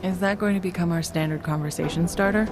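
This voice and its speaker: A woman plays a soft-spoken therapist with a wry edge, shaken but resilient. Her voice sits fairly low in a video game cutscene, with loud music behind it. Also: fast talker, natural voice.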